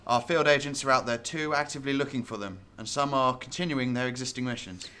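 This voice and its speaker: Fast, bright-voiced male intelligence chief, acted by a man. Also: medium pitch, smooth, natural voice. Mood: tense, defensive. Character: brisk male senior intelligence chief under pressure. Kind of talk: radio drama acting.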